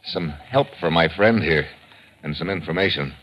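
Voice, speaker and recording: An actor playing a tough, wry American adventurer, brisk and slangy, in an old radio drama. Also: male voice, deep voice, slightly rough, dull audio. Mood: businesslike. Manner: brisk, slangy, take-charge. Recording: noisy old radio recording.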